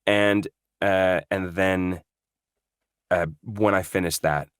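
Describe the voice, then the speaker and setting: A man's voice: deep voice, steady tone, takes it slowly, clear, resonant tone, no echo, studio quality. A man from Norway, a voice actor guest, chatting in an interview, thoughtful and full of fillers and restarts. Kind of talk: casual interview chat.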